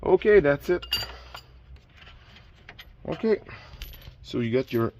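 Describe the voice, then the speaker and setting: A man's voice: on the high side, very animated, takes it slowly, very noisy. A man whose first language is French, hosting a do-it-yourself car repair video and explaining each step casually while he works. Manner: plain, instructive, with frequent restarts and filler. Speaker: DIY car repair channel host. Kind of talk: casual how-to narration while working.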